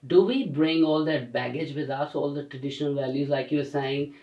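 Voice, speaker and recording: A man's voice: a little high, fairly even intonation, fairly quick, dull audio. A man from South Asia hosting a talk show, asking guests questions with frequent hesitations and filler sounds. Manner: hesitant, many fillers and back-channel hums. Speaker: show host. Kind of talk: interviewing guests. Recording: echoey room, distant mic.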